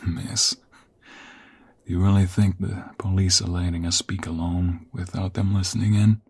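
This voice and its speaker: A man playing an ageing mobster father in an intimate audio roleplay, weary, stern but softening, speaking in a measured paternal way. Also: low-pitched, flat delivery. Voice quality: husky, gravelly.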